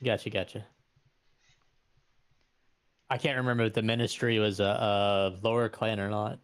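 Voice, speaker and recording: A man's voice: on the low side, level tone, moderate pace, smooth, no room sound. A man playing a tabletop roleplay, chatting casually and hesitantly, often repeating himself as he thinks. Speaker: tabletop game player.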